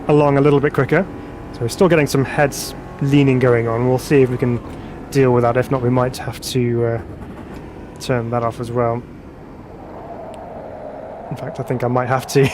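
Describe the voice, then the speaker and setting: A man's voice: mid-range voice, darkish tone, slight background noise. A man, stuffed up from a cold, giving chatty live commentary while driving a racing sim and reading out viewer chat. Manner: chatty and self-laughing, reads viewer chat aloud. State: congested from a cold.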